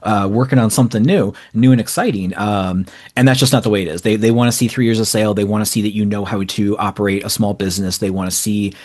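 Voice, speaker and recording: Low-pitched man talking casually. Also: quick pace, hardly any pauses, a little mellow. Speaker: interview guest, small indie publisher. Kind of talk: casual conversational interview answers.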